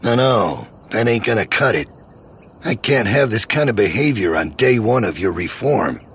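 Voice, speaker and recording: An actor voicing a menacing, domineering middle-aged leader in a video game, preachy and measured with folksy menace. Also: male voice, lowish voice, lively intonation, a bit bright, a little hiss or hum. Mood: cold menace. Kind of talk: dramatic video game voice acting.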